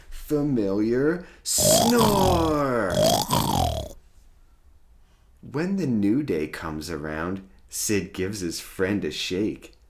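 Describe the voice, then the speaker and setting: Deep-voiced male narrator for kids' picture books, highly varied intonation, theatrical emphasis on key words. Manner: deliberate, dramatic storytelling with drawn-out key words. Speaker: host reading children's picture books aloud. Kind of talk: expressive children's storybook read-aloud.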